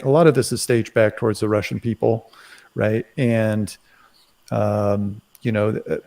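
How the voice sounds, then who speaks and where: A man in a casual current affairs discussion, speaking haltingly and often tagging his phrases with "right". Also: lowish voice, gravelly, a little mellow. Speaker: commentator on a live talk show.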